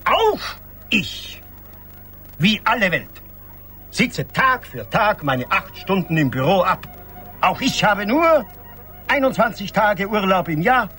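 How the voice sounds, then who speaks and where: High-pitched, pompous, scolding bureaucrat. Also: male voice, very animated, slow pace, long pauses, bright, forceful tone, faint music behind, exaggerated voice. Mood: pompous, lecturing. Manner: censorious, pompous, emphatic. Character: pedantic, self-righteous bureaucrat, a scolding male friend. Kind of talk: German radio play acting.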